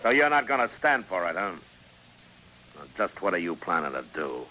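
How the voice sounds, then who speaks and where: Frontier marshal, old radio western: American, very low forceful voice, unflappable, sardonic, deliberate. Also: male voice, very animated, slight background noise, exaggerated voice, low-quality recording. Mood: stern, challenging. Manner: deliberate, laconic, dry. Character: stern, unflappable middle-aged frontier marshal, dryly sardonic.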